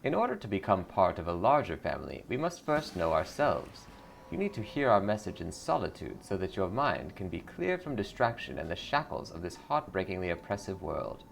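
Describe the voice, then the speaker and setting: A man's voice: low-pitched, very animated, a little fast, gravelly, slight background noise, exaggerated voice. A man voicing a blissful, eager cult greeter in a video game, sweetly pious and salesy. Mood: gently insistent.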